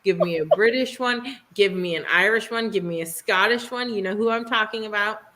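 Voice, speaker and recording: A woman's voice: medium pitch, brisk, fairly bright tone. A woman in her thirties with a Midwestern American accent, chatting casually on a livestream, rambling and self-deprecating and often trailing off mid-sentence. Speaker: livestream co-host.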